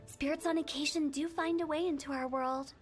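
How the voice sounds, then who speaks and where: A woman's voice: sits high, fairly even intonation, brisk, natural voice. A woman voicing a playful, teasing young woman who directs a funeral parlor, with a giggly, breezy manner, in game audio. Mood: earnest. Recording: game audio with background music.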